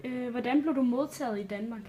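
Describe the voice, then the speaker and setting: High-pitched woman interviewer, brief plain questions, faint noise behind. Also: quick pace, dark tone.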